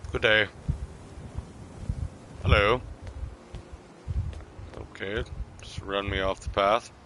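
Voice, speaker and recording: A man with a fairly low, gravelly voice roleplays through a game live. He takes his time, often halting as he thinks aloud, and there is some faint noise with game ambience behind him. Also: steady tone. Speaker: streamer roleplaying a game character. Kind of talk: live in-character gameplay roleplay.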